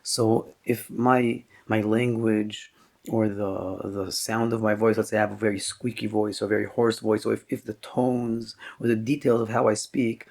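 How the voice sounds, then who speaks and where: A man giving a Torah class as a rabbi, explaining slowly and thoughtfully with frequent pauses and restarts, and mixing Hebrew terms into his talk. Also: medium pitch, vocal fry, a little mellow, breathy edge.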